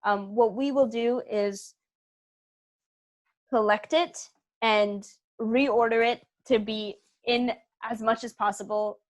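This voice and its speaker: A woman's voice: a little high, fairly even intonation, slow pace. A woman speaking casually as a guest in an interview about her creative work. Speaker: interview guest, theater company co-founder.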